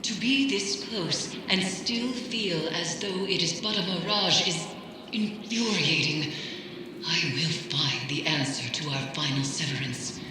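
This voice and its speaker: Female actor as grave occultist, suspicious, formal, portentous, video game briefing, long heavy reverb, clear background noise. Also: lowish voice, measured pace, close to an everyday voice. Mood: frustrated, resolute. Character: brooding, mystical woman occultist, grave and suspicious. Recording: very echoey long reverb, noisy ambience.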